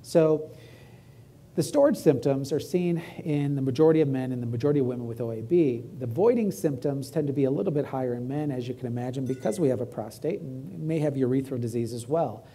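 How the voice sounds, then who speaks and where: A gravelly, husky-voiced man with a drawl, middle-aged, giving a urology talk from a lecture-hall stage. He keeps it conversational and often checks in with "right?". His tone sits dark and mellow. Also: medium pitch, fast talker. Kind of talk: medical grand rounds lecture.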